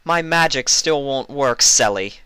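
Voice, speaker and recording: A man's voice: mid-range voice, expressive, unhurried, bright, forceful tone, smooth, dry recording, pitched above the performer's own voice, low-quality recording. A man voicing a sulky, frustrated young pony princess in a storybook reading, whiny and dramatic. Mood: sulky pouting.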